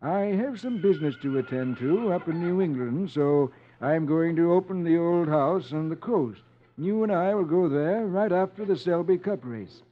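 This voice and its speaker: An actor playing a genial, busy gentleman horse owner, businesslike with small hems and haws, in a 1950s radio drama. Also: fairly high pitch, expressive, moderate pace, lightly acted. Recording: tinny, noisy old radio recording.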